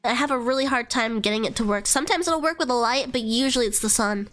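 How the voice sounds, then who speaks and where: A woman's voice: a little high, quick pace, a bit bright, faint music behind. A young woman streamer with a nasal voice and a stuffy cold, rambling casually, swearing freely and trailing into fillers. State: congested from a cold.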